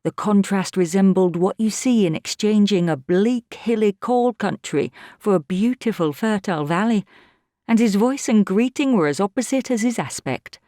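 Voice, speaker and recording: An actress playing an observant, plain-spoken housekeeper who tells a story in measured audiobook narration. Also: female voice, medium pitch, mellow, no echo, studio quality, close to an everyday voice.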